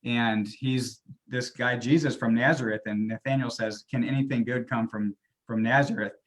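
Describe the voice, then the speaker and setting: Soft-spoken guy, thoughtful, mid pitch, trails off. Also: steady tone, moderate pace, poor audio. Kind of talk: panel discussion.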